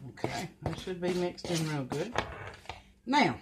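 An older woman at home giving plain, unhurried step-by-step cooking instructions in her kitchen. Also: lowish voice, lively intonation. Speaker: home cook. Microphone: camera at the counter, off-screen speaker. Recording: noisy home recording. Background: mixer and kitchen clatter.

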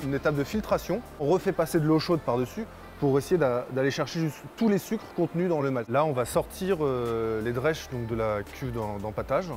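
Mid-pitched man over clear music. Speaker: brewer, co-manager. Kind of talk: step-by-step explanation. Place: indoors in a brewery hall.

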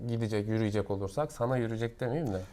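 A man's voice: on the low side, racing, warm tone. A man explains products casually in an interview as a knowledgeable seller, going step by step with examples.

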